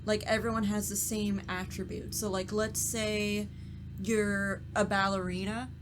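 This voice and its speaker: A woman's mid-pitched voice comes over faint background noise. She is a podcast co-host and voice actor, talking in a loose, conversational way with the group. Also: flat delivery, unhurried. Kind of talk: casual round-table chat.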